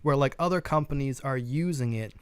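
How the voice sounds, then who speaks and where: A man's voice: mid-range voice, a little fast, clear, resonant tone, dry recording. A man chatting casually as a show panelist, explaining his points in a questioning, skeptical way. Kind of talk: casual discussion.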